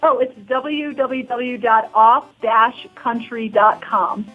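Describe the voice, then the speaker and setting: A woman's voice: fairly high pitch, slow pace, faint music behind. A woman talking casually as an interview guest, explaining things in an informal, hesitant way over a narrow phone-like recording. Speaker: documentary producer, interview guest. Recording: thin, phone-like narrow band.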